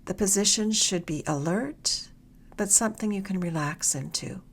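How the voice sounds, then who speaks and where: A woman leading a guided meditation in a gentle, soothing, unhurried way, her soft voice turning slightly breathy at the ends of phrases. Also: medium pitch, a little hiss or hum. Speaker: meditation guide, mindfulness mentor.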